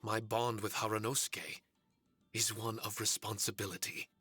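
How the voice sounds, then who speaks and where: A man playing a solemn, courteous male spirit servant in an anime game, speaking formally and earnestly in a measured way. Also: on the low side, flat delivery, sharp tone, husky. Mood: solemn, resolute. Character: solemn, courteous male shikigami spirit warrior with fragmented memories. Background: faint music.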